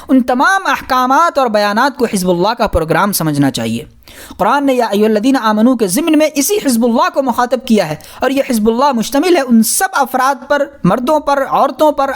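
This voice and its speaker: A woman narrates a scholarly audiobook in a high voice. Her intonation rises and falls in a very lively way, while her delivery stays formal and measured, as scripted reading. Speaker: audiobook reader.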